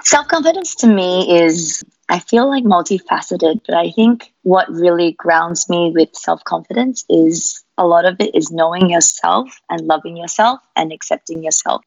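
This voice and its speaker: A woman's voice: on the low side, moderate pace, gravelly, few pauses, a little mellow, no room sound, highs cut off. A woman in her thirties with an Australian accent, speaking candidly and reflectively as a podcast guest. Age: 30s. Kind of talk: interview conversation.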